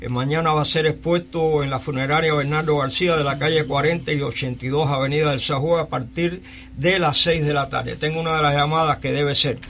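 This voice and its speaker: An older man with a Cuban accent hosting a live radio talk show, speaking haltingly and repeating phrases. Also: a little high, fairly even intonation, fairly bright tone. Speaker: radio host. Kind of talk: live call-in talk, recounting and interviewing.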